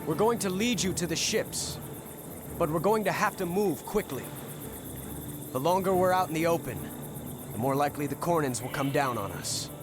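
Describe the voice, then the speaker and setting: A man's voice: a little high, expressive, moderate pace, natural voice. A man playing an earnest soldier scout in a video game, explaining things with urgency. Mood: urgent. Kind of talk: dramatic video game cutscene acting. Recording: music behind.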